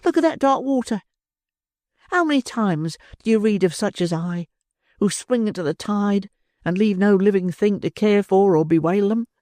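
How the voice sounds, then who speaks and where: A woman's voice: mid-range voice, animated, moderate pace, darkish tone, dry recording, studio quality, pitched above the performer's own voice, natural voice. A woman voicing a desperate, frightened young woman of the streets in an agitated, pleading way.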